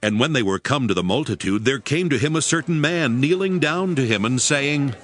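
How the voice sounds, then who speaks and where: A man gives a formal, measured narration of dramatized scripture. His voice sits at a medium pitch with lively intonation, over clearly audible music.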